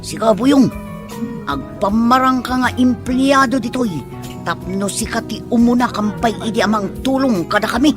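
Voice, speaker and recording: An eager, scheming henchman voiced by a woman in a comedy radio play. The voice is fairly high and obedient. Music plays clearly behind it. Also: moderate pace, natural voice. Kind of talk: Ilocano comedy radio drama acting.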